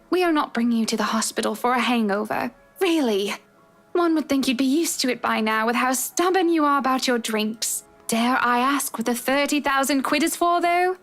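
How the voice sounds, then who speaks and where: Woman voicing an exasperated young woman, fairly high-pitched. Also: fairly bright tone. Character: exasperated, scolding young woman from a visual novel.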